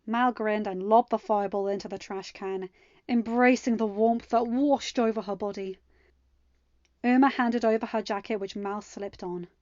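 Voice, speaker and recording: A woman's voice: medium pitch, fairly even intonation, normal speed, a little mellow, no echo. A woman reading stories aloud as host of a fan fiction channel, on a close, slightly muffled home recording. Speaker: podfic reader and channel host. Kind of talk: narrated fan fiction reading with chatty, excitable sign-off.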